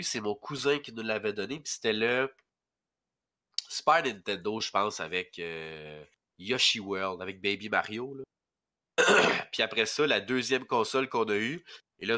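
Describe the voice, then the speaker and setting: A man's voice: lowish voice, bright, forceful tone. A young man from rural Quebec with a Québécois accent, stuffy from a head cold, chatting casually as a podcast guest and rambling through lots of fillers. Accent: Québécois French. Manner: rambling, hesitant, with many fillers. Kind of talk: casual chat.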